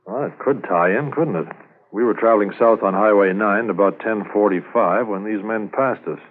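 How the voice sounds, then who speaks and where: An actor playing a brisk, methodical police officer in a 1950s radio drama, with firm, clipped, businesslike questioning. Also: male voice, lowish voice, natural voice. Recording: muffled 1950s radio transcription recording.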